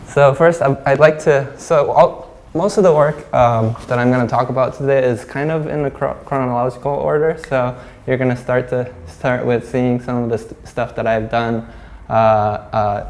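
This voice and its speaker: A young Japanese man from Hong Kong, a glass artist, giving a halting talk about his work in a gallery, with many fillers and restarts. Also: mid-range voice, flat delivery, creaky, darkish tone.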